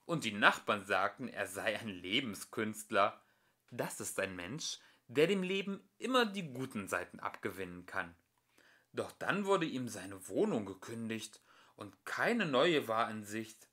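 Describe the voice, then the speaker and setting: Bright-voiced man reading a children's story. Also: on the low side, big pitch swings, measured pace. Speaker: amateur audiobook reader. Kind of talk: expressive children's story narration.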